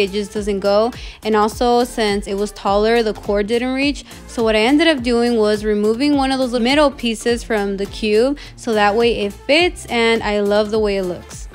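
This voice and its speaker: A woman's voice: fairly high pitch, moderate pace, few pauses. A woman in her thirties casually narrating her home cleaning in a voice-over. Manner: casual, chatty, matter-of-fact. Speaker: cleaning vlogger. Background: background music.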